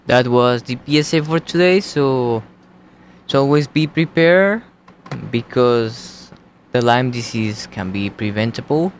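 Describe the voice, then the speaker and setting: A young man with a Colombian accent hosting a college radio show, talking in a slow, halting, casual way. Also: medium pitch, expressive, slight background noise. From United States. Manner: slow, unhurried, halting delivery.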